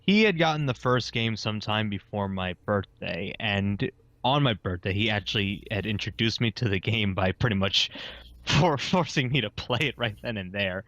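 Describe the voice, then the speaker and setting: A man tells a story as a guest on a casual podcast, easygoing in manner. His voice sits low. The mic sounds dull and very dry. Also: animated, moderate pace, fairly bright tone, no echo.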